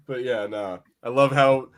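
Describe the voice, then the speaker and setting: A man hosting a casual pop-culture podcast, bantering in an excitable, sweary way. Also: mid-range voice. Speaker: podcast host.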